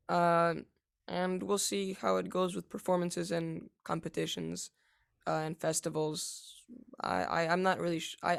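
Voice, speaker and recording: A teenage boy answering interview questions about piano, halting, with many fillers and restarts. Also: a little high, creaky, clean tone. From United States. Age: teens. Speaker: young pianist interviewee.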